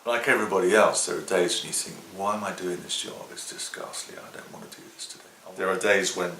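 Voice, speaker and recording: A carpenter, talking about himself in a candid, dry, self-deprecating way. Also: male voice, low-pitched, steady tone, quick pace, pushed and bright. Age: 50s. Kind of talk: candid documentary interview. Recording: echoey room with faint noise.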